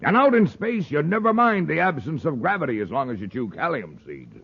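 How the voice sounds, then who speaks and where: An actor playing a crusty, independent old space pilot with a husky, folksy, gruff way of talking in a 1950s radio serial. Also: male voice, fairly high pitch, sing-song, fairly quick, heavily acted. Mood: folksy, amused. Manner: folksy, gruff, chuckling old-timer talk. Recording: dull lo-fi vintage radio recording.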